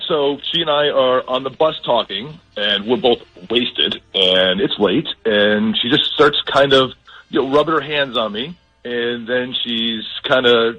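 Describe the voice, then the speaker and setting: A man on a radio phone-in, talking casually and haltingly, often repeating his words. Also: mid-range voice, sharp tone, breathy edge, lo-fi sound. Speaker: caller on a radio show.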